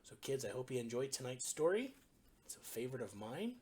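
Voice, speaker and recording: A woman storyteller reading a children's bedtime story expressively, in simple, deliberate phrases. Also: extremely low pitch, sing-song, warm tone, slightly rough.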